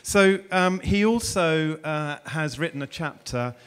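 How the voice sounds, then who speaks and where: A man's voice: fairly high pitch, animated, a little slow, a bit bright, clear, resonant tone. A middle-aged man from England, chatty and self-deprecating, introducing and interviewing a guest on a conference stage with a handheld mic. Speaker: interviewer and host. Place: conference stage before an audience.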